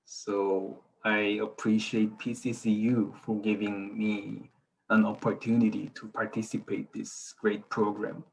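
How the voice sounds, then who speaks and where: Formal, grateful young man, lower voice. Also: flat delivery, unhurried, gravelly, a little mellow, slight background noise, rough recording. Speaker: mentee. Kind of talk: prepared testimonial.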